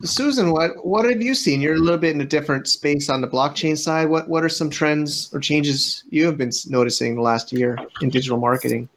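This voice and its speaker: Man, panel host, slightly high voice, casual, chatty, encouraging, unscripted. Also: fairly quick, few pauses, a little hiss or hum.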